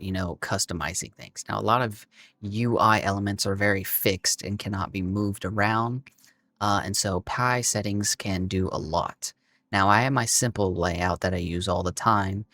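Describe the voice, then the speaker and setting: A man with a hoarse, raspy voice from being sick, giving a relaxed, casual walkthrough of game add-ons and talking straight to his viewers. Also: low-pitched. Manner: relaxed, frequent "uh" and "um", addresses viewers as y'all. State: hoarse from illness. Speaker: gaming video creator.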